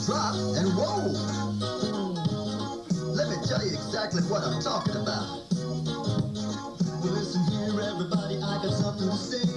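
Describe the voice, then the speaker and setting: Man as upbeat children's show puppet, high-pitched, animated, showy, half-sung delivery, distant echoey recording. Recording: roomy, distant VHS tape, loud music. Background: loud song backing music.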